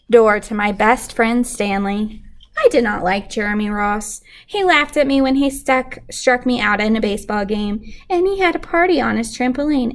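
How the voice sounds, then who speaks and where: A woman reading a picture book aloud to children in an animated way, pausing to put questions to young listeners. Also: on the high side. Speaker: children's story reader.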